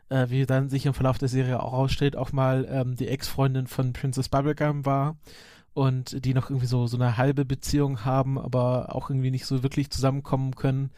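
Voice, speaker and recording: A man's voice: medium pitch, dark tone, no echo, clean studio sound. A man talking casually and enthusiastically as a podcast guest, rambling a little and often hesitating mid-sentence. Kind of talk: enthusiastic casual talk about animated series.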